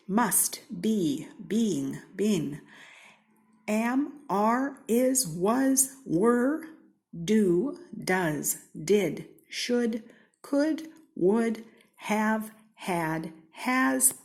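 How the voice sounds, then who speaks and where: An American woman from Seattle reading a children's storybook aloud in an expressive, theatrical way, with deliberate, playful emphasis. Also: medium pitch. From Seattle, United States. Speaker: storybook reader.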